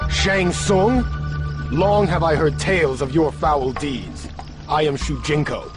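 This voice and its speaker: Earnest higher-voiced warrior hero. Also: male voice, slow, music in the background. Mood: indignant. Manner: formal, respectful, earnest. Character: earnest, polite heroic male martial arts warrior. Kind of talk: fighting game cutscene acting.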